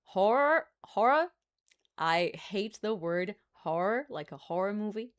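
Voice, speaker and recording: A woman's voice: medium pitch, animated, measured pace, clean tone. A young woman with a Brazilian accent chatting casually on a live stream, laughing often and making playful asides.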